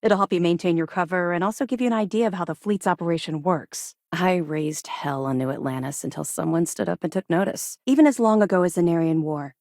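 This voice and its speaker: Woman acting a low-voiced, dutiful female space officer. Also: no echo, studio quality, close to an everyday voice. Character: tough, dutiful female military officer in space, wry ex-pirate. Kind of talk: video game character dialogue.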